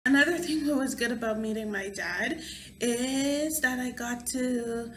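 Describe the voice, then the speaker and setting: A young woman telling a personal story into her camera, slow and unhurried. Her voice is bright and fairly high, and she laughs often and acts out what people said. The room around her is echoey, with a faint hum behind. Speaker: vlogger. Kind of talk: storytime vlog.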